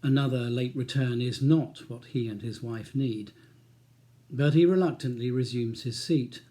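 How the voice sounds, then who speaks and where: A man's voice: mid-range voice, a little slow, mellow. A man reading his own crime novel as a scripted audiobook narration.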